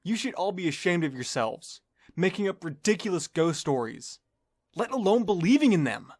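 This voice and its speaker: A man's voice: high-pitched, big pitch swings, normal speed, darkish tone, voice pushed up. A man voicing a young male pony, an earnest, anxious and protective big brother, in a story reading. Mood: angry, scolding.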